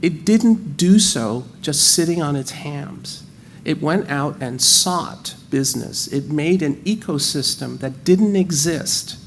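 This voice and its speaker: Gravelly American man on a panel. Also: fairly high pitch, animated, slow, a little hiss or hum. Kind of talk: panel discussion.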